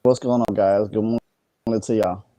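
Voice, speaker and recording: Sleepy male guest pundit, halting with fillers, lowish voice, dry close sound. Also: fairly even intonation, mellow, no echo. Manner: halting, full of fillers and restarts. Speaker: guest sports commentator. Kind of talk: casual sports talk.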